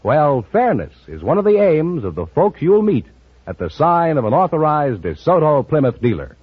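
A man's voice: on the high side, big pitch swings, normal speed. An American man reading a scripted 1950s radio commercial in a conversational salesman's manner. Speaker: commercial announcer. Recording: dry, dull vintage radio recording.

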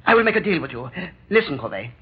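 An actor playing a treacherous, cowardly ex-convict in an old radio thriller, wheedling and excitable in his theatrical delivery. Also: on the high side, fast talker, slightly rough, full character voice. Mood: nervous wheedling. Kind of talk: 1930s-40s radio thriller acting. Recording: dull, lo-fi old radio recording.

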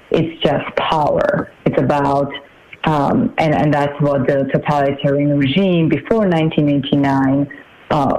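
A woman in her forties from Slovakia, a political candidate giving an interview in a measured, halting way with many fillers. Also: low-pitched, dark tone, highs cut off. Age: 40s. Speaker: congressional candidate.

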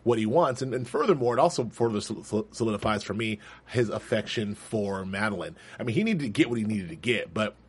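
A man's voice: fairly low pitch, animated, fairly quick, slightly rough. A man hosting a casual panel chat about a TV episode, talking animatedly with lots of laughter and restarts as he works through his thoughts. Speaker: host.

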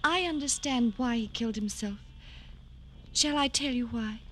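An actress playing a gentle, grieving young woman, soft-spoken, earnest and deliberate, in old-time radio drama style. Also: on the high side, a little hiss or hum, lightly acted. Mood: wistful.

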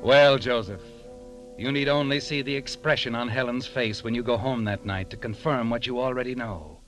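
An actor narrating an old-time radio thriller in a suspenseful, pointed manner, addressing the listener directly. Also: male voice, medium pitch, steady tone, moderate pace, natural voice, low-quality recording. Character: second-person radio narrator, insistent voice addressing Joseph. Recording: old radio recording with music behind.

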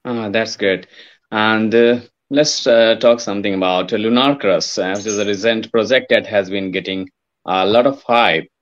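Lower-voiced man, hesitant, dull audio. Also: steady tone, normal speed. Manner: hesitant, many uhs. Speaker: interviewer. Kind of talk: interview questions.

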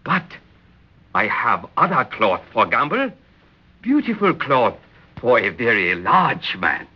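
A man's voice: medium pitch, huge pitch swings, slow, slight background noise. An actor in an old radio drama playing a polite, deferential island tailor who is proud of his craft. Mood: proud, apologetic. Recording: muffled old radio recording.

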